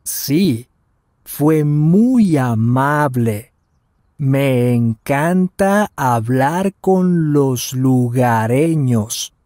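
A man's voice: mid-range voice, lively intonation, extremely slow, dark tone, no room sound, clean studio sound. A man voicing Daniel, a curious traveler in his twenties, in a scripted lesson dialogue with careful delivery. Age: 20s. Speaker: voice actor in language lesson dialogue. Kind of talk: scripted slow and normal-speed lesson dialogue.

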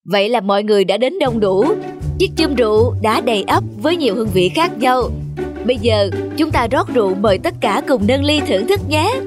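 A man's voice: very high voice, lively intonation, brisk, over music. A man voicing a jovial village feast host in a hearty, declamatory cartoon style.

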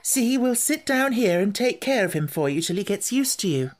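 Reader doing a high worried girl, begging and urgent. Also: sing-song, normal speed, lightly acted. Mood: pleading. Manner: pleading, urgent. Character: anxious young girl.

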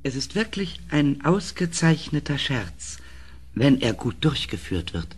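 A scheming little court jester from an old radio drama. He speaks in a husky voice, with courtly flattery and a sly, servile manner. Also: medium pitch, measured pace. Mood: gleefully persuasive. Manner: obsequious, sly, theatrically courteous. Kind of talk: vintage German radio play acting.

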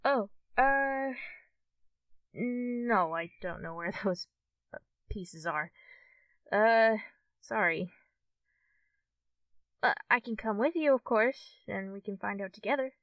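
Woman as shy, excitable fox girl for ASMR friend roleplay; halting, unhurried delivery, dry sound, slightly off-mic. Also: mid-range voice, no echo, distant mic, dull audio. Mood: helpful, unsure. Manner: hesitant, halting, giggly, addressing the listener as a friend. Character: friendly young fox-tailed cartoon studio girl, shy, easily excited. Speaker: roleplay performer.

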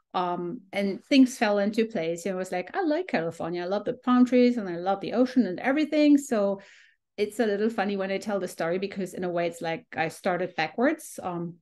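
A woman's voice: medium pitch, a little mellow. Chatty German woman teaching photography. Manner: chatty, frequent ums, enthusiastic. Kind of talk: live online presentation to a small group. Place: home room on a video call. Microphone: video call computer mic.

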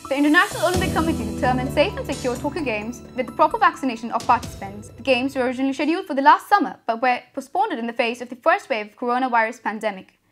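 Fast-reading young woman news anchor. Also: high voice, over music. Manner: brisk, even headline delivery. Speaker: student news reader.

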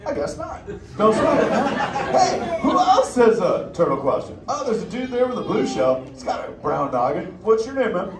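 A man's voice: very high-pitched, sing-song, normal speed, full character voice. A man voicing a laid-back surfer-dude male sea turtle in a live interactive audience show, talking in easygoing surfer slang. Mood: stoked. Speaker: live theme park show character. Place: indoor theater with an audience. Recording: echoey theater from far off, crowd noise.

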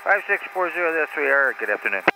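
A man's voice: on the high side, very animated, fast, creaky, bright, forceful tone. A man working as an airline pilot, making clipped, formulaic radio calls to air traffic control. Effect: aircraft radio. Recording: noisy aircraft radio transmission.

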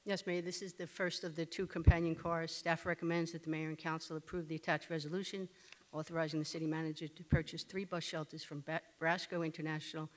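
A man presenting staff reports at a city council meeting in measured, formal wording. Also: on the high side, low-quality recording. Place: council chamber. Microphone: desk microphone.

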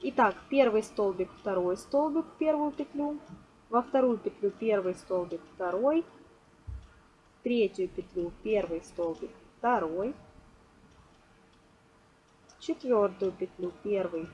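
A woman's voice: mid-range voice, a little slow, pauses often, a little mellow, muffled recording. A woman informally explaining a hands-on craft step by step, as a tutorial host. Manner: fond of diminutives, explains step by step. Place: indoors at a table. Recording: noisy amateur home recording.

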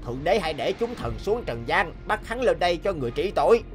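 A man plays a loyal cartoon angel warrior, high in pitch and animated. The manner is indignant and emphatic yet deferential. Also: fast talker, soft background music. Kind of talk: cartoon voice acting.

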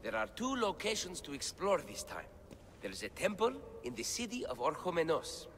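An anxious scholar from a video game, plaintive and full of self-doubt. The voice is high and bright, swinging widely as it frets. It rings with long reverb. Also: male voice, big pitch swings, normal speed. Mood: explanatory. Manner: fretful, plaintive, emphatic. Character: anxious, self-doubting male scholar translating ancient relics. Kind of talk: dramatic video game cutscene acting. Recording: long cavernous reverb, clear background noise.

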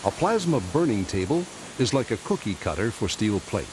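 A man reading scripted documentary narration in a measured, explanatory way, recorded as a studio voice-over. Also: lowish voice, big pitch swings, background noise.

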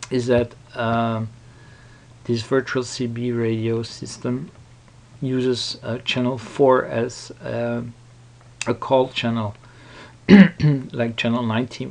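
Man, tutorial presenter, hesitant, unhurried pace, vocal fry. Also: mid-range voice. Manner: hesitant, halting explanation. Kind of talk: casual unscripted screen-recording walkthrough.

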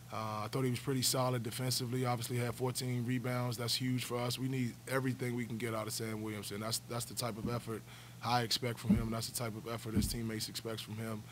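A man's voice: mid-range voice, little pitch movement, quick pace, a little hiss or hum. A man in his forties, a basketball coach answering questions after a game at a table mic in a press room, earnest and often repeating words as he restarts. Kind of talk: postgame press conference answers. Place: press room, seated at a table before a backdrop. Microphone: table stand mic.

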